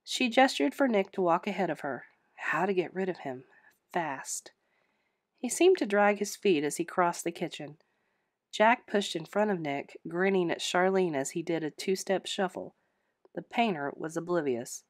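A woman's voice: fairly low pitch, lively intonation, moderate pace, no echo, clean studio sound. A woman narrator giving a scripted read-aloud of a story in audiobook style.

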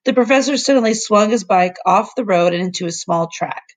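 A woman teacher reading a children's novel aloud to her class, plain and unhurried, correcting small slips and adding asides to the kids. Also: mid-range voice. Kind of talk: read-aloud storybook narration.

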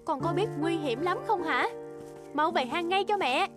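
A cartoon mother donkey, played by a woman, has a very high voice set over loud music. She is stern and anxious, scolding insistently. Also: animated, normal speed. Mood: scolding, worried. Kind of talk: animated fairy-tale voice acting.

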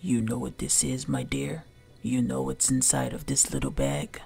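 A woman voicing a very small, mysterious old man from a storybook, coaxing in a low, creaky, whispery voice. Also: big pitch swings, normal speed, dark tone, soft background music, full character voice. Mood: creepy, coaxing. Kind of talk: storybook character voice.

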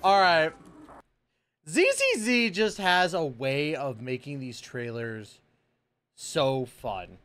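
A man's voice: on the high side, lively intonation, slow pace, fairly bright tone, clear, resonant tone. An American man in his thirties hosting a reaction video with casual, slangy, exclamatory commentary into a close microphone. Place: small indoor room full of collectibles. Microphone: close microphone on boom arm.

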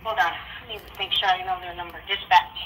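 A woman answering a county office phone, polite but hesitant, pausing often. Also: lowish voice, fairly even intonation, a bit bright, a little hiss or hum, rough recording. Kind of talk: phone conversation.

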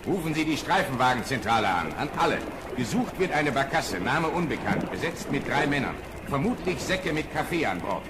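An actor playing a brisk, commanding police sergeant in a radio play, clipped and authoritative. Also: male voice, mid-range voice, big pitch swings, natural voice. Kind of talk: German radio play acting. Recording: old radio play, loud background noise.

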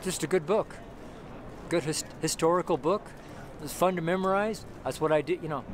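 Middle-aged male street preacher, slightly high-pitched, loose and repetitive delivery, close camera outdoors with clear street noise. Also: moderate pace. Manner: rambling, repeats himself, many restarts and fillers. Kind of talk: informal outdoor sermon to camera. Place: outdoors on a city sidewalk by a brick wall. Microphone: camera close up, handheld. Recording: noisy outdoor street recording. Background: street traffic noise.

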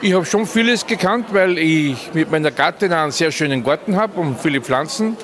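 A man's voice: a little high, lively intonation, normal speed, fairly bright tone. A man in his seventies with an Upper Austrian accent, answering casually in an interview in an event hall. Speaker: interviewee, local resident. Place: large indoor event hall. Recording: crowd chatter behind.

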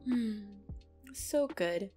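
Woman playing a slow, coy, flirty vampire lady. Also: mid-range voice, expressive, dark tone, soft background music. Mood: dreamy, sly. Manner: playful, giggly, slow and coy, dropping into breathy whispers. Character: flirtatious, teasing female vampire with a hungry undertone. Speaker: roleplay voice performer. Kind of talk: ASMR roleplay, intimate one-sided dialogue.

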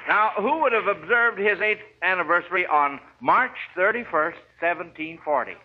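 High-voiced folksy 1940s quiz host, man. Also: lively intonation, normal speed, slight background noise. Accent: American. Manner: folksy, encouraging, avuncular. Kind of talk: 1940s radio quiz hosting. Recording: dull 1940s radio recording.

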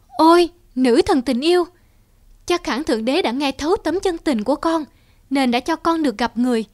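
A woman's voice: sits high, normal speed, a little mellow, no echo, studio quality, lightly acted. A woman voicing a lovelorn young female fox in a cartoon fairy tale, pleading earnestly and plaintively. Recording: music behind the voice.

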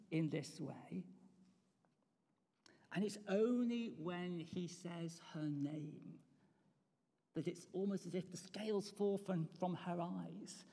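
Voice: mid-range voice, vocal fry, dark tone, husky. A preacher giving an Easter sermon in a reflective tone, asking the congregation rhetorical questions. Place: church. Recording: long church reverb.

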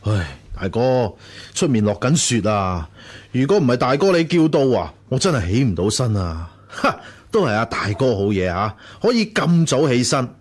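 Lively, fawning sidekick. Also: male voice, mid-range voice, moderate pace, breathy edge. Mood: jovial, flattering. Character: fawning, jovial male hanger-on and sworn younger brother. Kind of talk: Cantonese period radio drama acting.

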